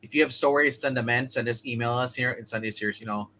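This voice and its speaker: A man co-hosting a casual comics talk show, halting and restarting often as he talks. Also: mid-range voice, steady tone, sharp tone. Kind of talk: casual chat.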